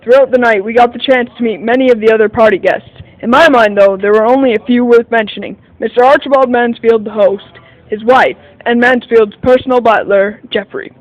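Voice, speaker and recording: A man's voice: extremely high pitch, steady tone, brisk, muffled recording. A man playing a detective's sidekick who narrates a 1940 radio mystery in the first person. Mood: measured recounting.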